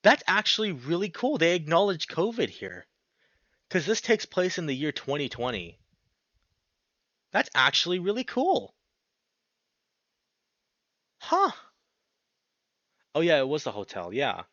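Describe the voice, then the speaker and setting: Animated man reading a visual novel aloud. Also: fairly high pitch, measured pace, pauses often, no echo, muffled recording. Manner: animated, sweary, slangy interjections. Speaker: let's player narrating and reacting to a visual novel. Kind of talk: expressive read-aloud narration with casual reactions.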